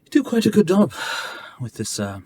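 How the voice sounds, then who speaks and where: A man role-playing at a tabletop game, speaking in a measured, gentle way. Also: on the high side, wildly swooping pitch. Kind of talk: in-character roleplay and table talk. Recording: noisy room ambience.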